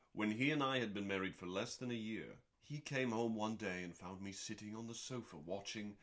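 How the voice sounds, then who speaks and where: A man reading aloud as host in a calm, dramatic bedtime style, with deliberate theatrical pauses between short phrases. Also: on the low side. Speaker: host and reader. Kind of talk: dramatic, calming audiobook-style cookbook reading.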